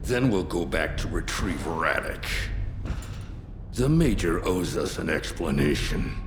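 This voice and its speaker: A man playing a wounded, grave middle-aged military commander in a video game, gravelly and deliberate, with emphatic dramatic pauses. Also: sits low, expressive, noisy background. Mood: resolute. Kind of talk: cinematic video game acting. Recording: echoey reverberant hall, music underneath.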